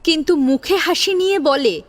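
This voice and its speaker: A woman's voice: high voice, moderate pace. A woman narrating a scripted family drama as a storyteller, in a dramatic audio-story style.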